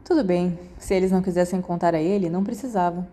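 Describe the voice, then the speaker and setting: Mellow Brazilian woman narrating fiction. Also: lowish voice, quick pace. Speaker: podfic narrator. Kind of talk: scripted story narration.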